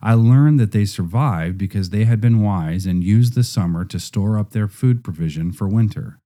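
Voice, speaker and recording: Deep, very mellow male narrator.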